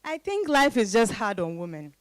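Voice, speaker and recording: A woman's voice: medium pitch, big pitch swings, normal speed, no echo, close to an everyday voice. An actress with a Ghanaian accent playing a proud, independent professional woman in a dramatized reading, emphatic and exclaiming. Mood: exasperated, defiant.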